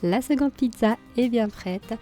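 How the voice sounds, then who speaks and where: Hoarse woman with a mellow voice. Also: a little high, a little slow, soft background music. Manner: calm, explains step by step with small fillers. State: slightly hoarse from a cold. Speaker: cooking channel host. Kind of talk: cooking tutorial voice-over.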